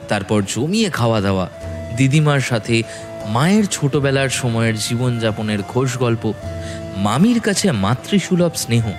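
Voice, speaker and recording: A man narrating a scripted horror story in a dramatic, expressive storytelling manner with measured phrasing. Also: mid-range voice. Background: background music.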